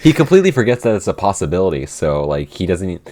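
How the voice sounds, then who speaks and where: A man's voice: low voice, very animated, quick pace, dark tone. A man chatting casually in a Q&A, explaining his thoughts in a rambling way with restarts mid-sentence. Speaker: podcast co-creator and writer. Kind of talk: casual Q&A chat.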